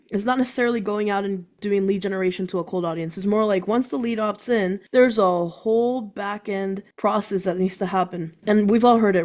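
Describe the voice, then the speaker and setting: A woman who is a guest on a business podcast, explaining her work quickly and enthusiastically. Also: medium pitch, darkish tone. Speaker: guest entrepreneur. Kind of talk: casual interview chat.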